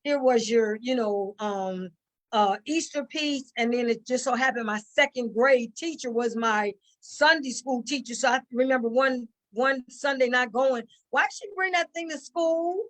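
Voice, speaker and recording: A mature woman with a fairly high voice chats as a guest in an interview. She tells stories informally, starting haltingly and dropping in bits of quoted speech. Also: low-quality recording. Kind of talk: casual chat, storytelling.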